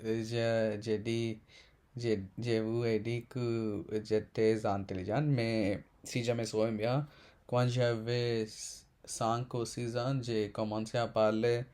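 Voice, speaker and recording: A man in his twenties from India, a Telugu speaker, talking in halting learner's French with many pauses and self-corrections. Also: mid-range voice, flat delivery, darkish tone. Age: 20s. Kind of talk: casual unscripted monologue.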